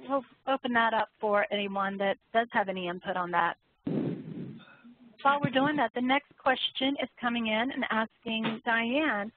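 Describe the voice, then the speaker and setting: A woman's voice: a little high, narrow, tinny audio, slight background noise. A woman moderating a webinar panel, reading out questions and responding to panelists over a phone or conference line.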